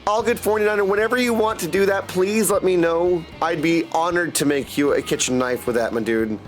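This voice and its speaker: A high-voiced man hosts a game livestream over soft music. He talks casually to his viewers, jumping from one reply to the next and leaning on catchphrases. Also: fairly quick. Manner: chatty, hops between chat replies, repeats catchphrases. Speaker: livestream host playing a video game; voice actor and audiobook narrator. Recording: music playing behind the voice.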